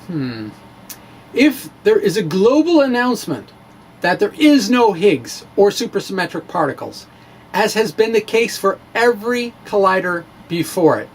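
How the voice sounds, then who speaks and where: A man's voice: high voice, big pitch swings, measured pace, slight background noise, rough recording. A man giving a dramatic reading of his own blog with casual asides, wry and playful with theatrical emphasis.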